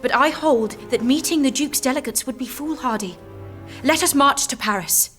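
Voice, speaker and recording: A woman's voice: high-pitched, normal speed, fairly bright tone, soft background music. A young woman playing a determined, devout eighteen-year-old warrior maiden in an audio drama, speaking earnestly, firmly and bluntly. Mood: defiant, urgent. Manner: earnest, firm, outspoken. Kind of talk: historical audio drama acting.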